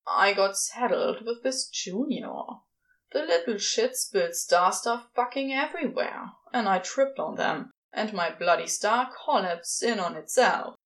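A woman's voice: mid-range voice, lively intonation, unhurried, a bit bright. A woman voicing a sardonic, casual male celestial being with offhand, clipped phrasing in a fan fiction audiobook. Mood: exasperated, wry.

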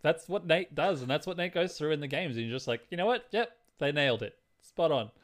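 Man with a lightly raised pitch, chatting. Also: lively intonation, fairly quick. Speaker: podcast co-host. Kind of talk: casual movie and game chat.

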